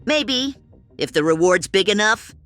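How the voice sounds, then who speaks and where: A boastful young bird thief, voiced by a woman, sneering and sure of himself. The voice is bright and lively over music. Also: mid-range voice, measured pace. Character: cocky young male bird thief gang leader.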